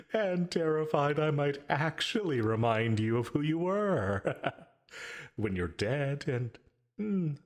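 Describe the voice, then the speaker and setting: Gleefully menacing male demon, played by a man, possessive and taunting, ASMR audio drama; extremely drawn-out delivery, wide swings in pitch. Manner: slow, drawn-out taunting with dark chuckles. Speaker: voice actor in audio roleplay. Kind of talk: ASMR yandere roleplay, dramatic monologue.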